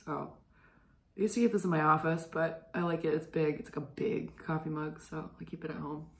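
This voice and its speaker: Woman, young adult, hoarse, gravelly, rambling casual chat, echoey room. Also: low-pitched, fairly even intonation, brisk. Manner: rambling, chatty, clears throat often. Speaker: knitting show host.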